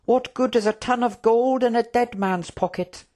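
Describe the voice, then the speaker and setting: Narrator playing an earnest, high-voiced, indignant prince. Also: moderate pace, darkish tone, close to an everyday voice. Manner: formal, pleading, indignant. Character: earnest, principled young prince, easily outraged.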